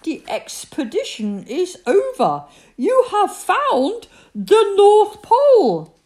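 A woman's voice: fairly high pitch, huge pitch swings, takes it slowly, darkish tone, voice pushed up, full character voice. A woman voicing an earnest young boy in a children's storybook, kindly and a little solemn.

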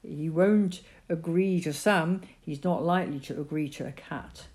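A woman voicing a kindly farm mother, warm and gentle, in a storybook reading. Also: low voice, measured pace.

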